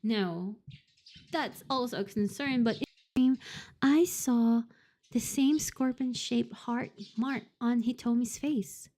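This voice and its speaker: Young girl streamer reading a horror game out loud, reacts a lot, kinda high voice. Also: takes it slowly. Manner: expressive, laughs and reacts to the story. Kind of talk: reading a visual novel aloud with commentary.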